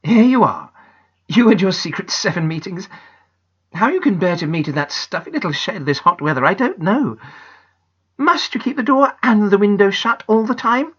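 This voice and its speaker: A woman's voice: mid-range voice, lively intonation, moderate pace, slightly rough, voice pushed up. A woman voicing a firm, teasing mother of young children, in a slightly dull recording. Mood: brisk teasing.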